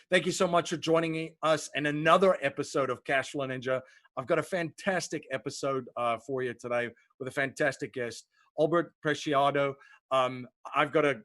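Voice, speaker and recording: A man hosting a podcast interview, enthusiastic and a little halting, repeating words as he goes. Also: fairly high pitch, a bit bright, dry recording, clean studio sound. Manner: enthusiastic, repeats words while finding phrasing. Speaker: podcast host. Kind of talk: casual interview chat.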